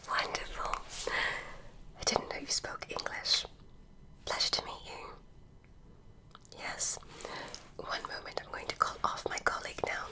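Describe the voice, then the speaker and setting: A woman's voice: bright, forceful tone, poor audio. A woman voicing a curious, polite female alien examiner, whispering through an ASMR roleplay.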